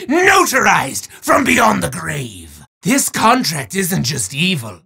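An actor playing a bombastic, boastful evil wizard in a video game, with a raspy voice and theatrical, drawn-out proclamations that break into shouts. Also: male voice, extremely high pitch, full character voice. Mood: menacing, gleeful. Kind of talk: video game villain voice acting.

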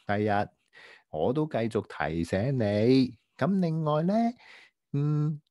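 A man voicing a prim, well-behaved young boy who gently lectures a friend in a children's radio drama, halting and patient. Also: mid-range voice, sing-song, dry recording, clean studio sound. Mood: earnest, helpful.

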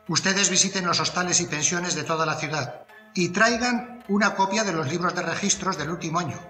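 A man's voice: on the high side, pushed and bright, reverberant. A man playing a commanding, methodical police inspector in a dramatised crime audiobook. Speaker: actor in an audiobook.

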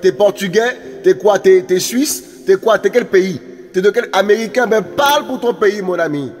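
A man's voice: high voice, normal speed, darkish tone, big-room sound. A man preaching an impassioned sermon, repeating phrases and calling out hallelujahs. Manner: repetitive, exhorting, calls out "Alléluia". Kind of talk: impassioned street-style sermon.

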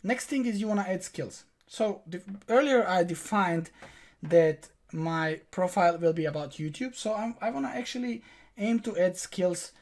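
A man's voice: sits high, expressive, normal speed. A young man whose first language is Croatian hosts a screen-recorded tutorial. He talks viewers through every click in a direct, instructive way, recorded at a desk in a small room. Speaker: online tutorial host.

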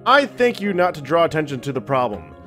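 A man plays an aggrieved temple priest from a comic role-playing game. The delivery is theatrical and touchy, with lively, raised pitch and music behind it. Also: fairly quick. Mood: indignant. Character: aggrieved, self-pitying male temple priest, absurdly touchy.

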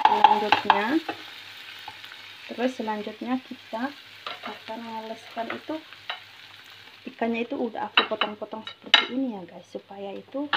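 Casting: woman, home cook vlogger, mid-pitched, chatty and unscripted, phone-recorded in a noisy kitchen with sizzling. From Indonesia. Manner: chatty, repetitive, peppered with English words. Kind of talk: casual unscripted cooking tutorial. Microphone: handheld phone behind the camera. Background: frying sizzle, stir noises.

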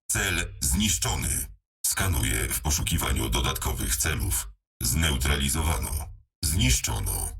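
Robot mech voice for a game, super deep n raspy, clipped military callouts, robotic filter. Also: male voice, a bit bright, far from the mic, full character voice. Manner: terse, deliberate machine-like delivery. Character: robotic battle mech computer speaking for a hamster pilot. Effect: robotic voice processing. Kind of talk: clipped military status announcements.

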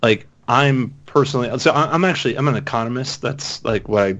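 An American man chatting casually as a podcast co-host, halting and often restarting his thoughts. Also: mid-range voice, a bit bright, lo-fi sound. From United States, Texas and Northern Virginia. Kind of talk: casual chat.

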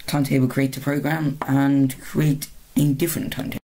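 A video host narrating an informal screen-recorded tutorial in a halting way, often rephrasing mid-word. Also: mid-range voice, level tone, warm tone, low-quality recording. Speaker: video host, hobbyist presenter.

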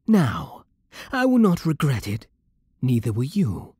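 A man acts out a seductive British vampire king in an ASMR roleplay. He speaks slowly and imperiously in a very breathy, husky voice with a lively, swooping melody. The delivery is formal, possessive and teasing, close to the microphone. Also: mid-range voice, no echo, heavily acted. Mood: commanding, possessive. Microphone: close, dry microphone.

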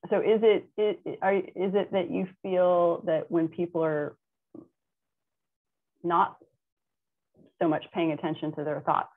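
Low, husky woman on thin call audio. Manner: hesitant, groping for phrasing. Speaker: audience member asking a question. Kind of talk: casual unscripted question. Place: indoor home room on a video call. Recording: muffled, phone-like video call audio.

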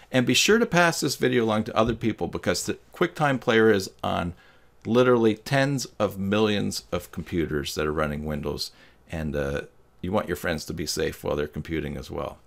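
A middle-aged man giving a step-by-step software tutorial in a plain, instructional manner. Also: on the low side, creaky. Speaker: tutorial host. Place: small indoor room with green screen.

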